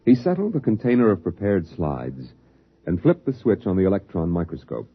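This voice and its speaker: A man's voice: low voice, sing-song, normal speed, breathy edge, exaggerated voice. A man delivering grave scripted narration as the narrator of a 1950s science fiction radio play. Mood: grave, measured. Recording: dull lo-fi 1950s radio recording.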